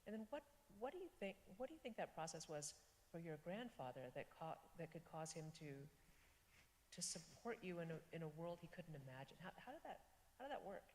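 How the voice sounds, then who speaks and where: Low, lively woman asking halting questions. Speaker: forum host and interviewer. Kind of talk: interview questions. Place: church forum hall. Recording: echoey hall with faint hiss.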